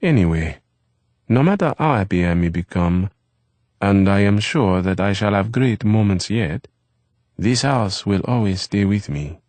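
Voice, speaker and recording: A man voicing a gentle, wistful young man who grew up on the streets, speaking in soft, formal phrases. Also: on the low side, expressive, unhurried, no room sound, pitched above the performer's own voice. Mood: earnest.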